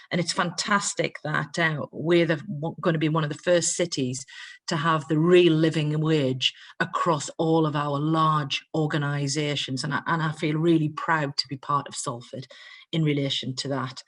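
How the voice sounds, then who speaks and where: A middle-aged woman, a university dean, giving an earnest welcome speech over a video call, with frequent ums. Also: sits low. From not from Greater Manchester. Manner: warm, earnest, with frequent um fillers.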